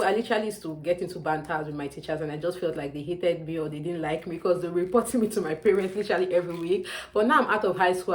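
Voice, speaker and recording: Fast-talking Nigerian woman vlogger. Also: lowish voice. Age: young adult. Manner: chatty, emphatic, often calls out "you guys". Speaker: student vlogger. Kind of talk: casual advice vlog to camera.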